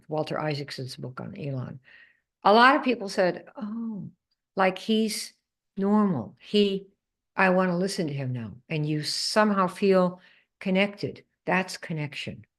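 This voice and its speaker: A woman from the Netherlands coaching a live group on sales, emphatic and quizzing her audience. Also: on the low side. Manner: emphatic, asks the audience questions.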